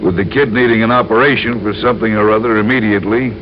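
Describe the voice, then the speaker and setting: An actor playing an earnest male insurance man who explains things deliberately and carefully in an old radio crime drama. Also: fairly low pitch, fairly even intonation, natural voice. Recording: muffled, noisy old radio recording.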